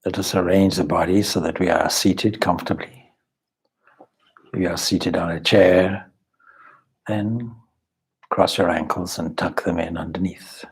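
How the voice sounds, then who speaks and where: Slow, deep, husky man guiding meditation. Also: low-quality recording. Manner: calm, measured, instructive with deliberate pauses. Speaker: swami leading a guided meditation. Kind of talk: guided meditation.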